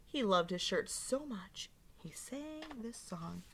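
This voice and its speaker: Slow-paced woman reading to young children. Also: mid-range voice, slight background noise. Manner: animated, expressive storybook delivery with simple explanations and questions. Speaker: teacher reading a picture book aloud to young children. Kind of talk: interactive read-aloud lesson with questions to listeners.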